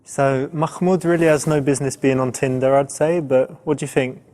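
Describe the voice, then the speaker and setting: A man's voice: mid-range voice, steady tone, moderate pace, dark tone. A man presenting as a dating coach, giving casual, conversational advice with music behind him.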